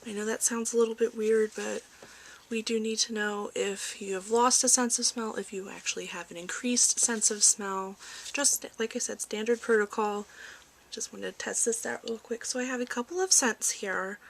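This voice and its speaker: A woman speaking softly and reassuringly in an ASMR roleplay as a doctor giving a check-up, often slipping into whispers. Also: fairly high pitch, creaky, a little hiss or hum. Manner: gentle, hushed, reassuring, dropping into whispers. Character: polite research lab doctor giving a check-up. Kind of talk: soft-spoken ASMR medical exam roleplay.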